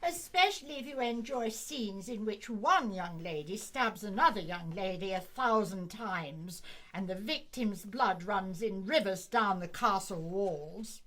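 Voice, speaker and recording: An actress playing a sensible, sarcastic teenage younger sister in a radio play, speaking in a dry, scolding manner. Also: female voice, mid-range voice, lively intonation, measured pace, a bit bright.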